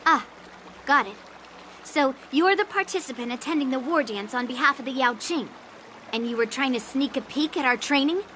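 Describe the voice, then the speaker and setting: A woman's voice: sits high, expressive, normal speed, bright, forceful tone, a little hiss or hum. A woman playing a brash, blunt and competitive young swordswoman in a video game, with informal, direct speech. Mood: challenging. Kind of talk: scripted video game voice acting.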